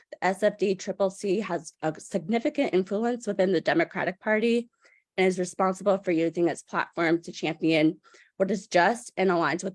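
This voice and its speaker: A woman reads a prepared statement as public comment. Her voice sits a little low and sounds dry, without room echo. Her delivery is formal and firm.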